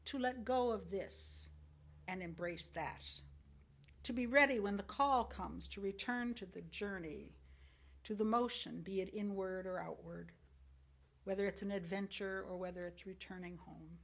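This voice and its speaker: A woman reading a sermon from a script as a lay church speaker, in earnest, deliberate phrases. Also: medium pitch, vocal fry. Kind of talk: sermon, read from a script with short personal commentary.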